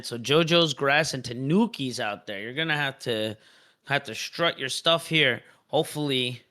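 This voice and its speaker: Guy hosting an esports stream, bright forceful voice, hypes things up and says stuff twice. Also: a little high. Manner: upbeat, repeats words for emphasis. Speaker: esports league host. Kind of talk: casual live-stream esports chat.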